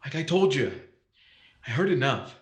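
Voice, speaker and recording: A man's voice: medium pitch, big pitch swings, moderate pace, lightly acted. A man acting in an old-time radio thriller as a wary, stubborn working-class plumber, plain-spoken, slangy and defiant. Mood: wary, explaining. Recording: echoey, roomy voice.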